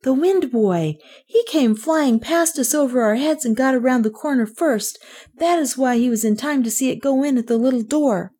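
Woman voicing an earnest, high-pitched little boy. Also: animated, moderate pace, mellow, breathy edge. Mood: eager, explaining. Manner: urgent, insistent. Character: determined, troubled little boy, earnest and pleading.

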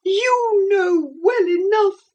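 High-pitched bitter servant woman, scornful, defiant, storybook villainess, as a woman reads her. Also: expressive, unhurried, darkish tone, muffled recording. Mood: tearful fury. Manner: scornful, fierce, tearful outbursts.

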